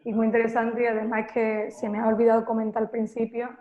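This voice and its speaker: A woman from Andalusia with an Andalusian accent, hosting and asking measured questions. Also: fairly high pitch, completely flat delivery. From Seville, Andalusia, Spain. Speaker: host. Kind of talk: conversational interview. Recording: echoey, tinny call audio.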